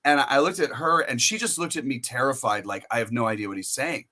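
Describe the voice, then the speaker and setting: A man's voice: medium pitch, fast talker, sharp tone. An American man hosting a casual interview, telling rambling stories full of restarts. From United States. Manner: rambling, storytelling with many restarts. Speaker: podcast host.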